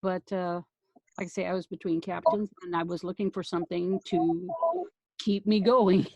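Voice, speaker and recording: A woman who does amateur voice acting, chatting casually on a live stream in a halting, thinking-aloud way. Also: fairly low pitch, level tone, a little mellow, no echo, lo-fi sound. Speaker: volunteer audio drama voice actor.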